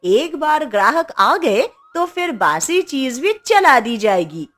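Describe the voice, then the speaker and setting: A woman acts out a shrewd shopkeeper's wife from a cartoon, her voice raised a little high. She nags and schemes with a sly tongue. Faint music is behind her. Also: very animated, takes it slowly, fairly bright tone, exaggerated voice. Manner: scolding, sly. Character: sharp-tongued, crafty wife of a trader. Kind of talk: cartoon story acting.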